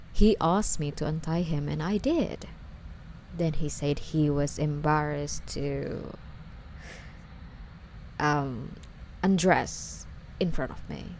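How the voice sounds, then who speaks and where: A woman's voice: low voice, creaky, a little mellow, a little hiss or hum, exaggerated voice, low-quality recording. A woman playing a lovesick, obsessive young stalker under interrogation in an ASMR roleplay, with a coy, wheedling, hesitant delivery.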